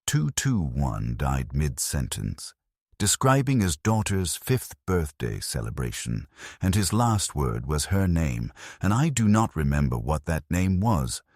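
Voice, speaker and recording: An extremely low-pitched male narrator tells a grim military sci-fi tale. His delivery is formal and detached, yet his pitch moves around in a lively way. The recording is dry and close, with no room sound. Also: dry recording. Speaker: narrator of fiction stories. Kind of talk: scripted first-person narration of a grim military sci-fi story.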